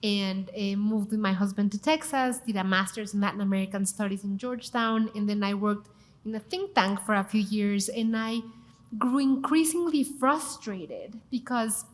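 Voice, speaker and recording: A woman's voice: mid-range voice, moderate pace. A woman from Mexico with a Mexican accent, a cooking show host speaking expressively and emphatically as a panel guest. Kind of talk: panel conversation.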